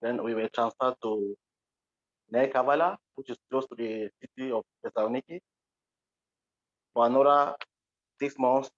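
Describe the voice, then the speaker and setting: A man's voice: mid-range voice, steady tone, normal speed, long pauses. Male panel guest, Cameroonian accent, mid-range voice, hesitant and plain in delivery, heard over a dull call connection. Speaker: interview guest, interpreter. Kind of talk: recounting personal experience in an online panel. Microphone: video call. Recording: muffled, dull online call audio.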